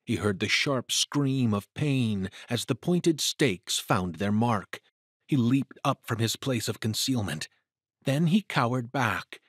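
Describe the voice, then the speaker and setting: A man narrating an adventure story in a dramatic, deliberate, suspenseful way. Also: mid-range voice, slightly rough, no room sound, studio quality.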